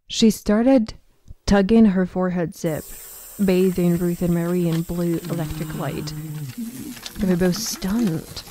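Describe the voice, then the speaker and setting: A woman's voice: lowish voice, steady tone, slow pace, mellow, slight background noise. A woman narrating a scripted audio drama as the storyteller.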